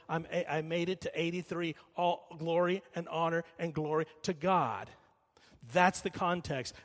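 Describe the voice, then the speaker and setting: A middle-aged man preaching a sermon, emphatic, slowing and repeating lines for weight and dropping quiet while telling a story. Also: on the high side. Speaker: pastor. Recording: long reverb of a large hall.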